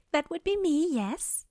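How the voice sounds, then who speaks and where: A woman plays a kindly goddess in a fantasy audio drama. Her voice is high and unhurried, with a gentle, courteous manner. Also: no room sound, studio quality, heavily acted.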